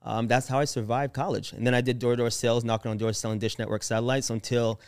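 Smooth-voiced man in his thirties. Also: mid-range voice, quick pace. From Jamaica. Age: 30s. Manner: animated, laughs often, expressive storyteller. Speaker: guest, real estate investor. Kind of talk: casual interview storytelling.

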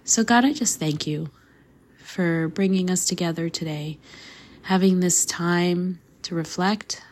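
A woman in her thirties, hoarse from a flu, hosting a faith podcast in an earnest, casual way, laughing easily and dropping to a soft near-whisper. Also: fairly low pitch, measured pace. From Canada. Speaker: podcast host.

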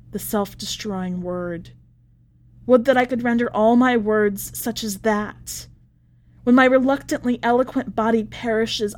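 A woman voicing a despairing, obsessive imprisoned inmate in a dramatic story reading, solemn and incantatory, with deliberate pauses. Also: mid-range voice, a little mellow, close to an everyday voice.

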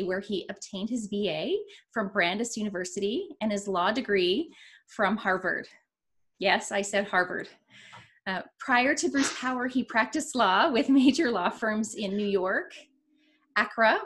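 A woman hosts an interview podcast, her voice sitting at an ordinary middle pitch. She talks earnestly and loosely, restarting sentences and leaning on fillers as she sets up her questions. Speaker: podcast host. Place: rural region of Ontario, Canada.

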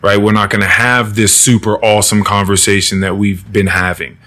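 A man's voice: on the low side, little pitch movement, fairly quick, sharp tone. A man hosting a casual podcast conversation, talking loosely and profanely and acting out the people he quotes. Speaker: podcast host.

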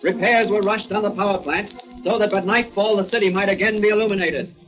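A man's voice: high voice, steady tone, brisk. A man giving scripted narration in a dramatic, declamatory announcer style. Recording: muffled old radio recording with music.

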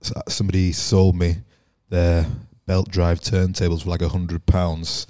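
Deep, flat-voiced man in his thirties. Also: a little slow, no echo, studio quality. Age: 30s. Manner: relaxed, chatty storyteller. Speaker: podcast guest. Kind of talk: casual chat.

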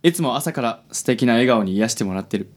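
A suave ladykiller, played by a man with a mid-pitched voice. He is gallant and very pleased with himself, speaking with theatrical cool and the odd English word in radio-comedy style. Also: animated, a little fast, lightly acted. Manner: smooth-talking, gallant, theatrically cool, sprinkles English words. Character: suave, self-admiring handsome man, charming ladykiller. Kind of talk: radio comedy drama acting.

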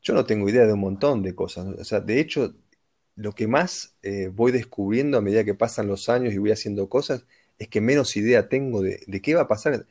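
A man with a Rioplatense Argentine accent thinks aloud in an unhurried, reflective way. His voice sits low with a gravelly, crackling edge, and he drifts between ideas as he chats. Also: darkish tone. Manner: reflective, musing, philosophical. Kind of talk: casual conversation.

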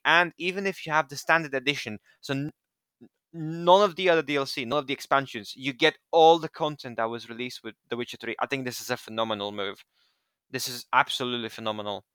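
Guy from romania, casual chat, bright crisp voice, super eager, kinda repeats himself. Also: medium pitch, fairly quick, no room sound. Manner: enthusiastic, repeats words.

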